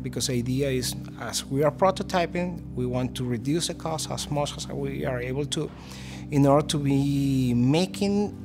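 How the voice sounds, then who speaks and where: A man, a chemical engineer speaking carefully and searching for his words in an interview. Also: mid-range voice. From Venezuela. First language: Spanish. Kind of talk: documentary interview. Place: indoor maker workshop. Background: background music.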